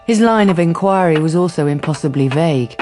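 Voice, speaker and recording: A woman's voice: sits low, lively intonation, brisk, mellow, faint music behind. A woman narrating a radio drama from a script.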